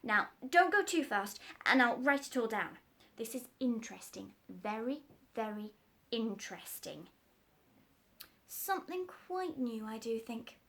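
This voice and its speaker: A woman voicing a gentle, kindly doctor who speaks in a mild, polite, earnest way. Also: a little high, very animated, a little slow, full character voice. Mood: mild, curious.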